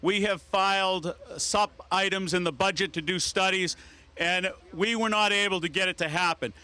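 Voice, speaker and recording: A man's voice: high-pitched, steady tone, normal speed, pushed and bright, clean tone. A man speaking as a state representative at an outdoor public event, emphatic and fond of repeated phrases. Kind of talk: prepared public speech.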